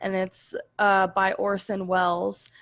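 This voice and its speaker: A woman's voice: mid-range voice, monotone, takes it slowly, a bit bright. A woman chatting casually as part of a podcast cast. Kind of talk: casual chat.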